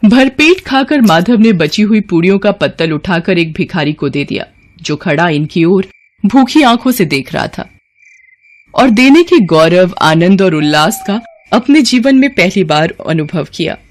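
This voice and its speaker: A man's voice: high-pitched, sing-song, a little slow. A man narrating a scripted story as a storyteller.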